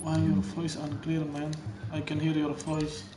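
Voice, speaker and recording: Friendly gamer in an echoey room. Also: male voice, a little high, monotone, measured pace. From Indonesia. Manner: friendly, chatty, asks many questions. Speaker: gamer in online co-op. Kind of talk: casual voice chat while gaming. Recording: echoey voice chat mic with game noise. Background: game sounds.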